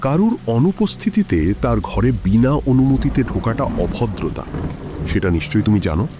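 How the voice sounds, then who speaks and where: A man's voice: medium pitch, very animated, noisy background, lightly acted. Actor as stern aristocratic older man, swooping intonation. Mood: cold anger. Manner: formal, measured, reproachful. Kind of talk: Bengali audio drama acting.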